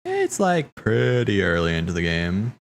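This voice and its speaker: A man's voice: fairly low pitch, huge pitch swings, a little slow. A man hosting a casual watch party, rambling and thinking aloud in slangy speech as he comments. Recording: game music playing behind. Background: video game cutscene music.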